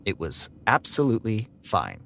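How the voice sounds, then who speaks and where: An actor playing a guarded, socially averse security android who tells its story in a dry, sarcastic, deadpan way. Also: male voice, lowish voice, moderate pace, vocal fry, a little hiss or hum, full character voice. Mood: sardonic, irritated. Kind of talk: first-person audiobook narration and dialogue.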